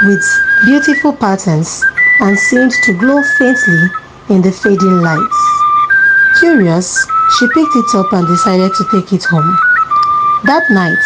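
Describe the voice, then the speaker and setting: Female narrator for a children's folktale, mid-range voice with big swings in pitch, prominent music bed. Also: poor audio. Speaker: storyteller.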